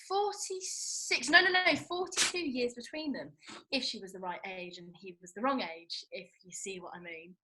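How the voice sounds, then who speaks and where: A woman's voice: a little high, bright, forceful tone, low-quality recording. An actress playing a blunt, indignant young British woman with colloquial, sweary speech in a comedy script read. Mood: muddled, insistent. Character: blunt, indignant young woman, film-loving Austen festival-goer.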